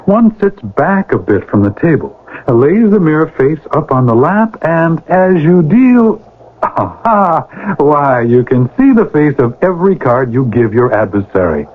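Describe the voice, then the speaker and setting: An actor playing an earnest, righteous older brother, theatrical and formal in an old-time radio drama. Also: male voice, on the high side, big pitch swings, a little slow. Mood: pointedly polite. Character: earnest, righteous older brother, a pleading moralist, narrating his past. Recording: noisy, tinny vintage radio recording.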